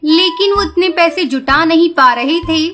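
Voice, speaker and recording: Very high-pitched woman storyteller. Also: normal speed, fairly bright tone. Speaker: narrator. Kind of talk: scripted children's story narration. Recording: music behind the voice.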